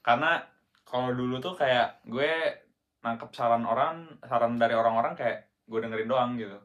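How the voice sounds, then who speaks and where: A man's voice: medium pitch, normal speed, fairly bright tone, highs cut off. A young man from Indonesia, around twenty, chatting casually as a podcast co-host in slangy, rambling speech full of restarts. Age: young adult. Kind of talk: casual chat.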